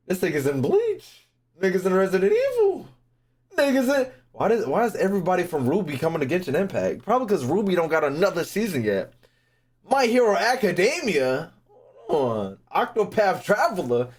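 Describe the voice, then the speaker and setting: A man's voice: sits high, huge pitch swings, normal speed. A young man giving casual live-stream commentary on a game, brash, slangy and profane, often repeating himself.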